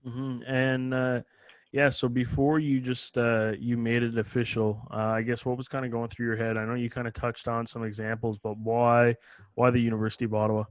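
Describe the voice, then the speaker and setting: Level-voiced podcast host, dry sound. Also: male voice, medium pitch, flat delivery, moderate pace, no room sound. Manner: casual, many "uh" fillers, rambling questions. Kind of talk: casual podcast interview.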